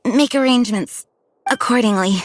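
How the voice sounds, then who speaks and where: A woman's voice: a little high, moderate pace, dry recording, close to an everyday voice. A woman playing a dutiful, overworked young knight commander in a video game, speaking formally and courteously. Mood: weary, formal. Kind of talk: video game character acting. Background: game music.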